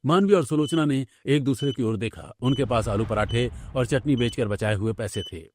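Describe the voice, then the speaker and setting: A man's voice: mid-range voice, moderate pace. A man reading scripted story narration for a cartoon tale. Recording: background music under the voice.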